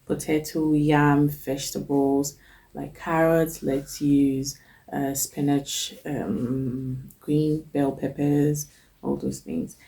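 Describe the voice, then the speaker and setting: A woman's voice: sits low, level tone, slow, gravelly, warm tone. A young woman hosting her cooking channel, chatting casually through a list with frequent ums while she has a cold. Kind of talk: casual talk to camera.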